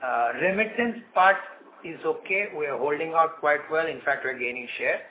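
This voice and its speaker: Over a thin phone line with faint noise, a man answers as a senior bank executive. His voice sits a little high, and he speaks off the cuff, posing brief questions and answering them himself. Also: normal speed. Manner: asks and answers own questions. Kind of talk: earnings call answers, unscripted. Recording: muffled phone conference line, noisy.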